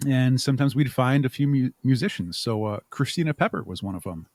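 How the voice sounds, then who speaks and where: A man's voice: medium pitch, animated, brisk, a little mellow. A man speaking casually as a podcast guest, explaining his creative work in a rambling, chuckling way. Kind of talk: casual interview chat.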